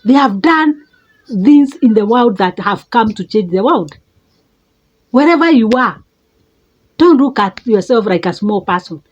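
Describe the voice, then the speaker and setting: Kenyan-accented woman, middle-aged, fairly high voice, muffled lo-fi recording, emphatic motivational coach. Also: animated, a little slow, long pauses, slightly rough. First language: a Kenyan mother tongue. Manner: emphatic, repeats words, jumps up in pitch for stress. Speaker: life coach. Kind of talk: motivational talk.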